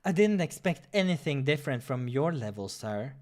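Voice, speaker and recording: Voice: mid-range voice, very animated, moderate pace, natural voice. A visual novel narrator voicing a sassy, eager, talkative male cat adventurer with a cheeky manner.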